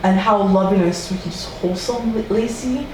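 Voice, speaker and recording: A woman hosting a podcast, telling a true-crime story in a casual, animated way and quoting people in a raised voice. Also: medium pitch, moderate pace. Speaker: podcast host. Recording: echoey room from far off with faint noise.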